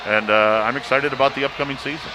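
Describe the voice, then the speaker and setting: A man who works as a play-by-play announcer, talking in a measured, explanatory way in a casual sports panel discussion. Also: medium pitch, fairly even intonation. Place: large indoor arena. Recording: noisy arena ambience behind.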